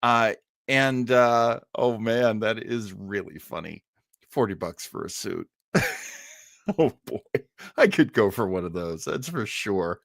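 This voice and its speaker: A man's voice: lowish voice, lively intonation, takes it slowly, gravelly, fairly bright tone, no echo, clean studio sound. A man hosting a livestream, chatting casually with jokey, rambling asides. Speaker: livestream host. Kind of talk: casual chat commentary on old radio.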